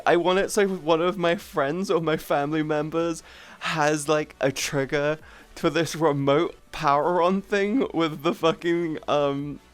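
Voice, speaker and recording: A woman live streamer chatting casually with viewers in an informal, sweary, rambling way. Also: lowish voice. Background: background music.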